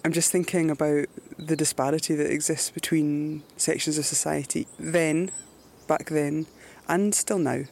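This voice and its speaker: A woman with a Scottish accent narrating a river journey outdoors in a reflective, unhurried way. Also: low-pitched, fairly even intonation, vocal fry, a little mellow. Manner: musing, unhurried. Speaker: adventurer presenting a journey.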